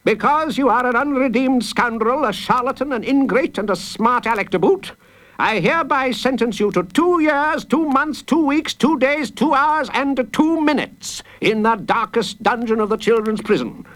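Pompous old judge, high and blustering. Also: male voice, moderate pace, a bit bright. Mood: gloating, severe. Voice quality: growling, gruff. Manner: pompous, stammering with indignation. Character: blustering, self-important old judge, easily outraged.

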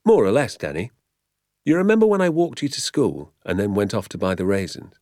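A man's voice: fairly low pitch, huge pitch swings, a little fast, a little mellow, dry recording, clean studio sound. A man voicing a fond, sly, proud father in a storybook, with an easygoing, assured manner. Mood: proud, happy. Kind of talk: storybook character voice.

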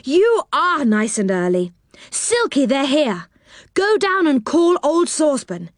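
A woman's voice: high voice, sing-song, takes it slowly, no echo, clean studio sound, pitched above the performer's own voice, full character voice. A woman voicing a cheerful, bubbly magical man living in a treehouse in a storybook. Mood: delighted. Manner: bubbly, delighted.

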